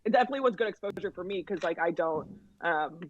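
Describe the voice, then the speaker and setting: A woman's voice: lowish voice, expressive, fast, lo-fi sound. A woman talking as a podcast guest in casual chat, with many fillers and restarts and frequent laughter.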